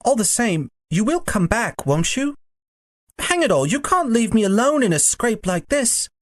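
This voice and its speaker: An excitable young boy acted by an audiobook narrator, with a raised, lively voice. He is earnest and defensive, pleading in old-fashioned schoolboy phrases in a children's storybook. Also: medium pitch, moderate pace, no echo, studio quality, pitched above the performer's own voice, close to an everyday voice. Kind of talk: audiobook character dialogue.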